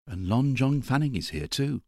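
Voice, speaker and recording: Guy doing a wry observant gent in an audiobook, raised a bit, slightly husky. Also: medium pitch, sing-song, normal speed, dark tone, no echo, clean studio sound, voice pushed up. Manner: elegant, wry.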